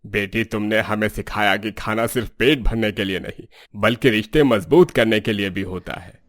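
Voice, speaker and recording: A man's voice: fairly low pitch, fairly even intonation, moderate pace, a bit bright, no echo, clean studio sound, natural voice. A man playing a kindly, reflective older father-in-law in a dramatized moral story.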